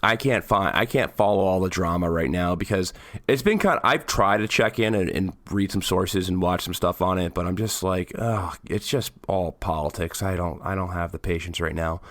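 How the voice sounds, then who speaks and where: A man co-hosting a podcast in a casual political chat, hoarse and raspy from the flu, rambling and restarting his sentences. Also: sits low, moderate pace, hardly any pauses, a bit bright, dry recording, clean studio sound. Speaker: podcast co-host.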